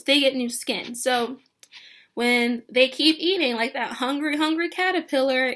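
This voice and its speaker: High, bright-voiced woman reading to children. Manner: animated, questioning, talks directly to children. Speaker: teacher reading a picture book to children. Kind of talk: read-aloud lesson for young children.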